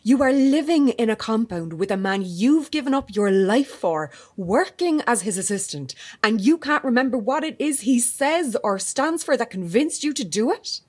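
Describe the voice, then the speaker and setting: An actress playing a blunt, sceptical reporter in an audio drama, sarcastic and confrontational. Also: female voice, a little high, animated, moderate pace, hardly any pauses. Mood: exasperated. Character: defiant, sardonic female reporter, sceptical and blunt.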